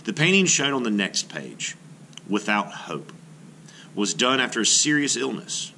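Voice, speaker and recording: A middle-aged man with a fairly low, bright voice reads aloud like an art teacher to young pupils. His intonation rises and falls in a very animated way as he works through each sentence in a measured, expressive manner. A light background noise sits under the recording. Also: creaky. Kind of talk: read-aloud narration of a children's nonfiction book.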